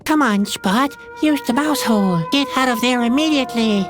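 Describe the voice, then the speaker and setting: A woman's voice: on the high side, normal speed, over music, lightly acted. A woman voicing a young escaped prisoner in a video game, gloomy and anxious, given to wry muttered asides to themself. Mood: urgent, hushed. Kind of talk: video game adventure dialogue.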